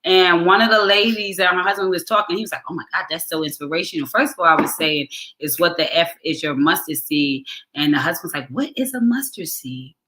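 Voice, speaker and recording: A woman's voice: lowish voice, a little fast, noisy background. A woman co-hosting a casual livestream, an author telling stories in a chatty, slangy way. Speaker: co-host and author.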